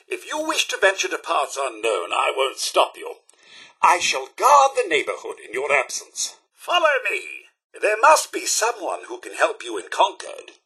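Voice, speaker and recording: A devoted British robot butler from a video game, fretful and impeccably polite. The voice is high and bright, with wildly swooping pitch. The diction is prim and theatrical, like a formal servant's. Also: male voice, husky, exaggerated voice. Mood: dutiful, resigned. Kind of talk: video game companion dialogue.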